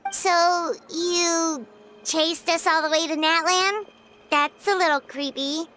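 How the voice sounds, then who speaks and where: A woman voicing a small, excitable, frank fairy companion with a childlike, squeaky voice in a video game. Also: slow pace, soft background music, exaggerated voice. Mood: wary, frank.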